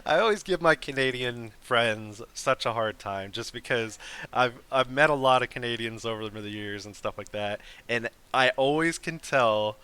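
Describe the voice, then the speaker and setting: A man's voice: medium pitch, moderate pace, pushed and bright, smooth. A man hosting a live stream, chatting casually with a guest in a rambling way full of fillers and restarts. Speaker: host.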